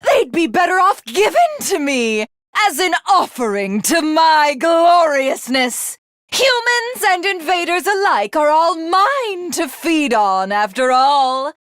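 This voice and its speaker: A woman's voice: very high-pitched, sing-song, slow pace, a bit bright, no echo, studio quality, exaggerated voice. A woman voicing a haughty, sadistic alien villainess in a video game, obsessively lovestruck and self-glorifying, with a grandiose, condescending, theatrical delivery. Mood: gloating, cruel.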